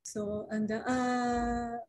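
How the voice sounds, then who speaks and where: Woman case manager, Japanese native, halting speech, high mellow voice, video call audio. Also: flat delivery, slight background noise. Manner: halting, with many fillers and restarts. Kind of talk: panel discussion on a video call.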